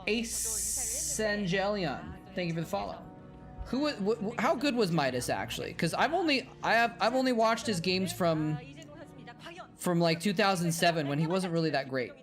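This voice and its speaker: A man's voice: sits high, lively intonation, normal speed, a bit bright. A man chats loosely on a live stream. His voice sounds worn from hours of talking, and he keeps restarting sentences and answering viewers on the fly. Music plays steadily underneath him. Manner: rambling, self-correcting, reads and answers chat aloud. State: voice tired after hours of talking. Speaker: streamer and former game caster. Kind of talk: casual live-stream chat while watching old matches.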